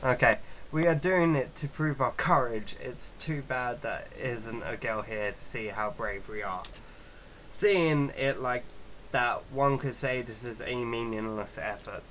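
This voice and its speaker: A man casually hosting a let's-play, chatting and reading game text aloud in a halting, stumbling way. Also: medium pitch. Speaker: gaming channel host. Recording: dull lo-fi mic with clear background noise.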